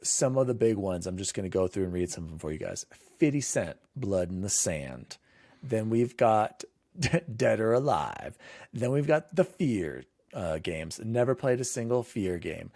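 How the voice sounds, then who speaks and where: A man's voice: lowish voice, big pitch swings, moderate pace, mellow, dry recording, studio quality. A man hosting a gaming podcast, chatting casually and reading out news lists in an informal, conversational way. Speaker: podcast host.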